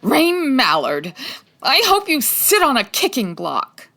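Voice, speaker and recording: A woman playing a sassy, sharp female private detective in a comedic 1940s-style radio drama, with wry, teasing delivery. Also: sits high, huge pitch swings, slow, creaky, full character voice. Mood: exasperated. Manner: wry, teasing, dry quips.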